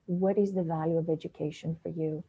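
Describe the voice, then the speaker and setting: A woman's voice: sits low, steady tone, brisk, dark tone, husky, muffled recording. A woman from Bulgaria giving an earnest, practical talk to students over a video call. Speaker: guest speaker, essay coach. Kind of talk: webinar talk.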